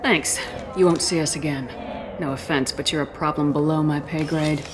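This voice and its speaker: Game role: low-voiced female commander played by an actress, blunt, terse, in control; clear background noise. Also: fairly even intonation, moderate pace, natural voice. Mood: stern, commanding. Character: hard-nosed commanding female military commander, impatient, in control. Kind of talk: video game voice acting.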